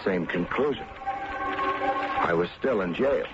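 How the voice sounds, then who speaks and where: A man acting a wry, unflappable private detective in an old-time radio drama, with a deadpan, sardonic delivery. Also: low voice, a little slow, over loud music. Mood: dry narration. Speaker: lead actor and narrator of a detective serial. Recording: muffled, noisy vintage radio recording.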